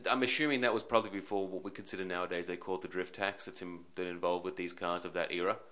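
A man's voice: deep voice, fairly even intonation, quick pace, pushed and bright. A man hosting a podcast interview, asking long, rambling questions with repeated phrases. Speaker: podcast host.

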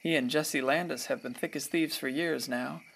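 A gravel-edged, slightly high male voice narrates a radio play as a folksy local observer. He muses wryly and in a neighborly way about the townsfolk. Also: steady tone, moderate pace, a bit bright, a little hiss or hum, poor audio. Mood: wry musing.

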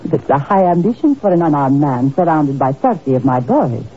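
A haughty, cold crime boss in a 1950s radio play, with a theatrical, widely swooping delivery. The recording is dull and faintly noisy. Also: female voice, low-pitched, very animated, a little fast. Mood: cold, mocking. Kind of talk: 1950s radio drama acting.

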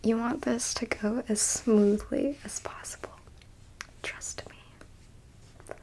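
A woman playing a haughty, curious alien examiner in a whispered ASMR roleplay, musing slowly and clinically with blunt asides. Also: on the high side, steady tone, low-quality recording. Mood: clinically intrigued. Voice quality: soft, breathy whisper. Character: curious, easily disgusted, haughty alien abductor examining a human.

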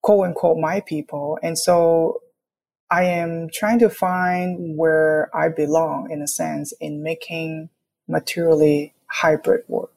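Low-pitched young woman from Hong Kong. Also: steady tone, slow pace, darkish tone. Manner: explanatory, often checks with "right?". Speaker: artist, interview guest. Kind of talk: conversational interview, reflective.

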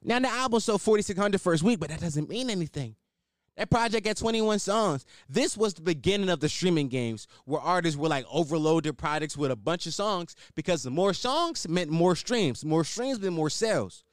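A young man hosting a solo podcast, blunt and opinionated, swearing and riffing off the cuff, with a higher-pitched voice. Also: animated, moderate pace, no echo, studio quality. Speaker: podcast host.

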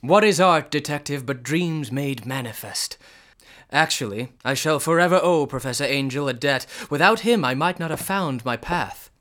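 An actor playing an aloof, dreamy young bohemian painter in a radio drama, speaking in lofty, precise, philosophical phrases. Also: male voice, on the high side, animated, moderate pace, a bit bright.

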